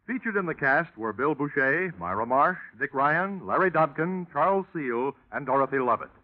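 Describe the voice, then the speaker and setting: A man's voice: on the high side, animated, moderate pace. An American man announcing in a polished, promotional 1940s radio style, reading scripted credits and plugs. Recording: tinny 1940s radio broadcast, music behind.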